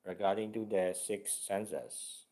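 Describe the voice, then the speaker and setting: A man guiding a meditation as a teacher, speaking deliberately in short, halting phrases with much repetition. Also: fairly low pitch, warm tone.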